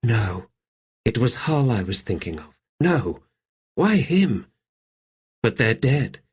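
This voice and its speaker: A man's voice: medium pitch, big pitch swings, takes it slowly, husky, pitched above the performer's own voice, heavily acted. A man voicing a grieving, shaken woman soldier whose inner thoughts come out anguished and questioning, as in an audiobook. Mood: troubled. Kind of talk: audiobook character voice.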